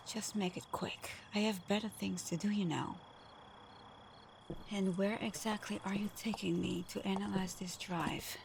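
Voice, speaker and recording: A woman's voice: medium pitch, fairly even intonation, a little slow, gravelly, slight background noise. A woman playing a sly, flirtatious supervillain in a scripted ASMR roleplay, murmuring breathily with teasing hums. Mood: teasing, sarcastic. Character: flirtatious, sly female supervillain teasing her hero nemesis.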